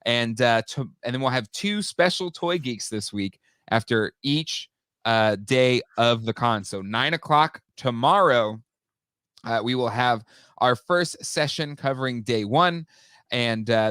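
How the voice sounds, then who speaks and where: A man's voice: medium pitch, a little slow, a bit bright, clean tone, no room sound. A man in his thirties or forties co-hosting a live toy show, slightly nasal from a cold, chatty and upbeat with many fillers. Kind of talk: casual live-stream chat.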